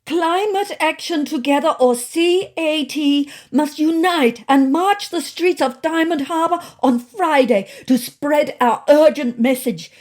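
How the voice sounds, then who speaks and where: A woman's voice: high-pitched, a little slow, hardly any pauses, darkish tone, voice pushed up. A woman voicing a bossy, zealous young female cat activist in a storybook, proclaiming dramatically. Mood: rallying.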